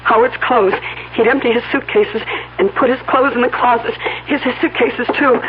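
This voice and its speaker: A woman's voice: on the high side, fairly even intonation, normal speed, natural voice. A woman playing a distraught, insistent young bride in a radio drama, agitated and emphatic. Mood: frantic, bewildered. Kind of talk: 1970s radio drama acting. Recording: dull old radio with faint noise.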